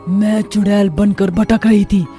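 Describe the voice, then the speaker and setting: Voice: medium pitch, steady tone, measured pace, dark tone, faint music behind, natural voice. A performer voicing a wronged ghost witch mother in a cartoon horror story, solemn and dramatic, vengeful yet tender. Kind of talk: Hindi animated horror story dialogue.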